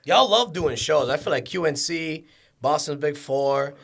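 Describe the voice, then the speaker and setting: A man's voice: fairly high pitch, animated, unhurried, creaky, fairly bright tone. A man hosting a podcast in casual chat, talking loosely with slang, swearing and lots of restarts. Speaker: podcast host.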